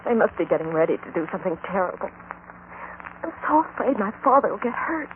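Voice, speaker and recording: A woman's voice: mid-range voice, sing-song, fast, close to an everyday voice. A woman playing an earnest, anxious young daughter of an inventor in an old-time radio western. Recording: tinny, noisy old radio recording.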